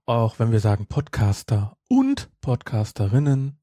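A man's voice: medium pitch, wildly swooping pitch, measured pace, warm tone, dry recording. A man chatting casually as a podcast host, explaining things in a rambling way and correcting himself.

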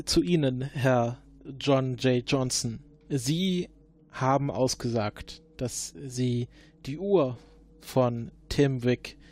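A man in an improvised role-play, questioning formally and probingly as an investigator, often halting mid-sentence. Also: mid-range voice, darkish tone. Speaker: player acting as investigating agent.

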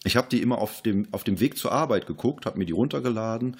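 Low, slightly husky podcast man. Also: animated, quick pace. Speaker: podcast host. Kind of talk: casual film discussion.

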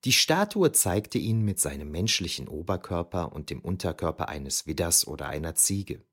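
A man's voice: low voice, very animated, moderate pace, a bit bright, husky, full character voice. A man playing a reflective ancient historian who narrates memories of youth in a scripted, wryly explanatory way for an audio drama. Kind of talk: scripted first-person narration.

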